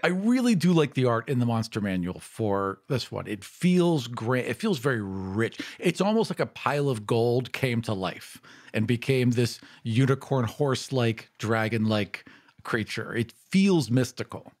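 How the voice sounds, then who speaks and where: A man's voice: mid-range voice, lively intonation, measured pace, darkish tone. A man speaking as a podcast co-host, sharing commentary in casual chat.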